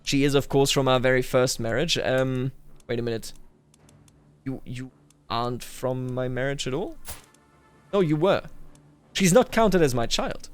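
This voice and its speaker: A man's voice: medium pitch, unhurried, long pauses, clean tone. A man doing roleplay commentary over a strategy game, addressing its characters and himself with theatrical declarations. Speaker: gaming YouTuber.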